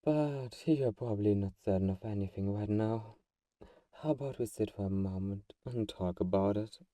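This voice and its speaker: A very mellow, dark-toned male vampire, played by a man speaks in an intimate audio drama monologue. He is teasing and possessive toward his hunter friend, with a slightly low voice. The sound is very dry, with no room around it. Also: moderate pace, no room sound, recorded from a distance, lightly acted. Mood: knowing, intimate. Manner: teasing, sighs and soft chuckles.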